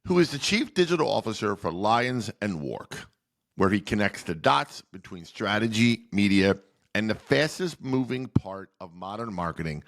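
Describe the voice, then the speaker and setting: A man hosting an interview podcast, introducing guests and joking at himself about how he says their names. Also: lowish voice. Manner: lively, self-deprecating about name pronunciations. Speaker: podcast host.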